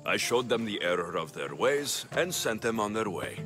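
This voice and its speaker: An actor playing a self-assured, pragmatic ancient Greek mercenary in a game cutscene, terse and dry. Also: male voice, on the low side, very animated, normal speed. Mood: earnest. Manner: terse, dry, matter-of-fact. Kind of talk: video game cutscene acting. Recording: music under the voice.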